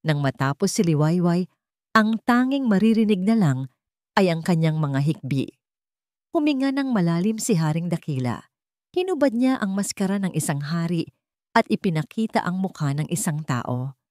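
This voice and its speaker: A woman narrates a scripted, dramatic story. Her voice sits low for a woman, and the sound is dry and close, with no room around it. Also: very animated, moderate pace, dark tone, dry recording.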